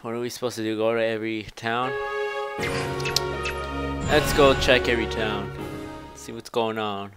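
A man's voice: lowish voice, steady tone, normal speed, long pauses. A man doing casual video game commentary, rambling and thinking aloud. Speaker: gameplay commentator. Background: game music.

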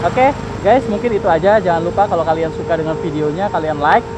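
A man's voice: high-pitched, fast. A young man talking casually as a motorcycle vlogger, chatty and upbeat with lots of fillers, recorded outdoors on a helmet-mounted action camera. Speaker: motovlogger. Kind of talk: casual vlog talk. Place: outdoors by a street, riding a motorcycle. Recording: lo-fi, loud engine and wind noise.

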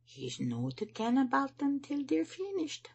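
A trusting, doting Scottish mother in a storybook, put on by a woman reader. She speaks softly and fondly, pitched up from the narration. Her polite, cheerful phrases rise and fall freely. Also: big pitch swings, moderate pace, breathy edge, heavily acted. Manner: soft, fond, cheerful and polite.

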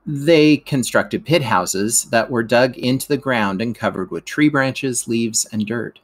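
Man narrating a measured lesson. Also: mid-range voice. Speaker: teacher reading aloud. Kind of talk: scripted educational narration.

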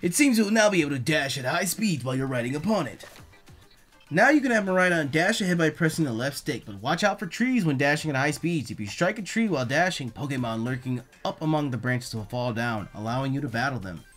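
A man reading aloud the lines of a kindly male professor from a video game, in a measured, earnest delivery. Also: a little high, expressive, a bit bright, faint music behind.

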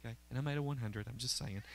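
Bright-voiced pastor preaching. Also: male voice, medium pitch, slight background noise. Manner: conversational preaching, checks in with the crowd. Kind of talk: sermon. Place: church auditorium stage, indoors. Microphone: handheld mic.

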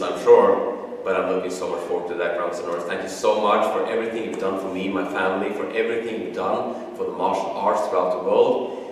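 A man's voice: sits low, a little hiss or hum. A middle-aged man with a Norwegian accent, a martial arts instructor, giving an earnest, emotional video tribute with frequent restarts in a studio. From Norway. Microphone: camera at a distance. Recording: echoey room, far from mic.

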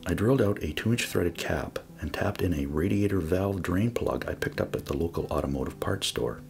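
A man giving a scripted voice-over for a do-it-yourself build, explaining each step in a measured, methodical way. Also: rumbling low, vocal fry. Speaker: DIY builder narrating a project.

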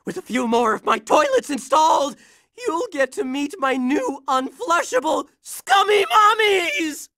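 A woman's voice: high-pitched, big pitch swings, unhurried, a bit bright, no echo, clean studio sound, exaggerated voice. A woman voicing a bumbling, blustering, whiny supervillain in a cartoon video game. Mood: blustering menace.

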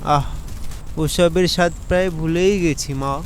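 A man playing a poor bachelor office worker in an animated folk tale, exclaiming, sighing and muttering to himself. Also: fairly high pitch, unhurried, slight background noise, close to an everyday voice. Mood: hungry, grumbling. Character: Sharif, grumbling poor bachelor office worker, kind-hearted.

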